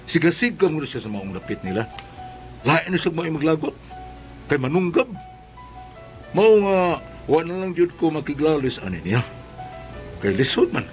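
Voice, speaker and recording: A man playing an excitable, henpecked Cebuano father in a comedy skit, exasperated and pleading. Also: on the high side, moderate pace, pauses often, soft background music, rough recording. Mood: confiding. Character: henpecked, excitable Cebuano father pacifying his fierce wife. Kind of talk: comedic first-person storytelling and skit acting.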